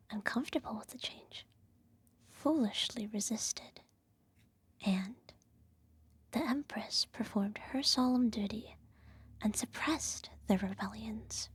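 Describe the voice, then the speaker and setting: A woman playing a regal, self-righteous evil empress who soothes her child with a whispered ASMR bedtime story in a measured, gently condescending way. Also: fairly high pitch, no room sound, full character voice. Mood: solemn storytelling. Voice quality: soft, breathy whisper. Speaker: ASMR roleplay performer.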